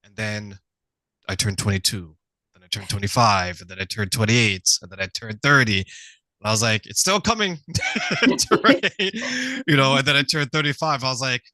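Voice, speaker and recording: Man, middle-aged, bright punchy voice, dry close sound, animated interview host. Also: medium pitch, moderate pace, no echo, low-quality recording. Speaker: talk show host. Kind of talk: conversational interview.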